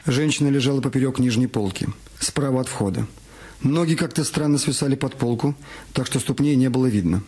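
A man narrates a crime novel for a radio production. His voice is middle-pitched with a husky edge. Faint music plays behind his scripted reading. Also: brisk, darkish tone. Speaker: narrator of an audio drama. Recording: music under the voice. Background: background music.

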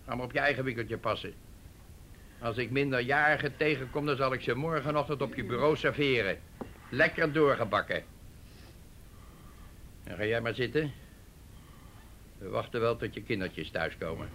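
An actor playing a sarcastic, domineering police detective with a crude tongue in a radio drama. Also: male voice, medium pitch, moderate pace, long pauses, a bit bright, slight background noise, lightly acted. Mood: gruff, sarcastic. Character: gruff, bullying, contemptuous male police detective with crude tongue.

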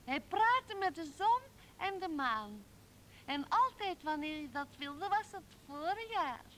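A woman's voice: very high-pitched, very animated, takes it slowly, fairly bright tone, a little hiss or hum, full character voice, low-quality recording. A woman playing a small, curious child in a radio drama, plaintive and full of simple wonder. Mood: dreamy, curious.

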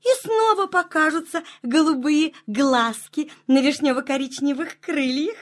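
Very high-voiced, dainty butterfly. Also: female voice, a little slow. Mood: gentle, explaining. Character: shy, sensitive, slightly vain female mourning-cloak butterfly. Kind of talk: children's audio play acting.

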